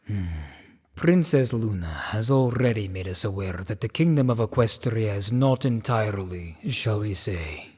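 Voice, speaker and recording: An imperious, deliberate king, played by a man in a fan-made radio play. His husky voice moves dramatically up and down with regal suspicion, ringing in a long hall reverb. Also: fairly low pitch, lively intonation, darkish tone. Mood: cool, commanding.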